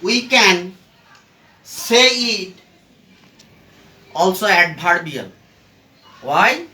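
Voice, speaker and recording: A teacher giving a step-by-step grammar lesson in short, halting phrases, asking and answering their own questions, recorded from a distance in an echoey room. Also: medium pitch, expressive, pushed and bright. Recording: echoey room, far from the mic.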